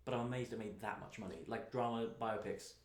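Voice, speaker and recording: A man co-hosting a casual podcast, chatty and rambling, slightly hoarse from tonsillitis, often laughing. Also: fairly low pitch, fast talker. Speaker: podcast co-host. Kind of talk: casual chat. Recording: echoey room.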